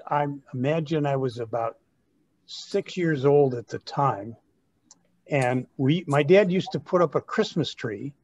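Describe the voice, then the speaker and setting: A man's voice: mid-range voice, slight background noise. An older man casually telling personal memories as a participant in a group video call. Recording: dry, dull video-call audio.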